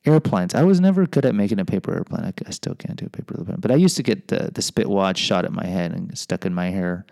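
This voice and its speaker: A man's voice: deep voice, animated, fast talker, creaky, few pauses, mellow, no room sound, studio quality. A middle-aged American man hosting a podcast, reading a personal memoir with rambling, self-deprecating asides. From United States. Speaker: podcast host and teacher.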